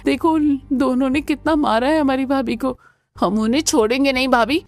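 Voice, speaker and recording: A woman's voice: high-pitched, fairly even intonation, normal speed. A woman voicing a protective, spirited young sister-in-law with bold, emphatic delivery in a cartoon family melodrama. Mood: indignant.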